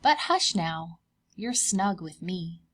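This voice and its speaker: Lady doing a gentle mama polar bear from a picture book, slow and soothing, lots of lilt. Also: fairly low pitch, animated, husky, exaggerated voice. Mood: hushing, soothing. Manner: soothing, patient, unhurried.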